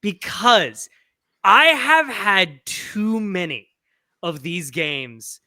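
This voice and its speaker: A man's voice: high voice, big pitch swings, extremely slow, bright, forceful tone, dry recording, studio quality. A man co-hosting a sports podcast in casual conversation. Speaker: podcast co-host.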